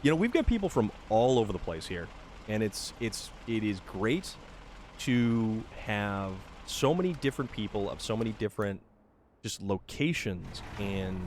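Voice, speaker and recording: A man's voice: on the low side, big pitch swings, a little slow. Chatty man streaming over engine noise. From Massachusetts, New England. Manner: friendly, rambling, thanks viewers by name, many fillers and restarts. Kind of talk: casual live-stream chat while playing.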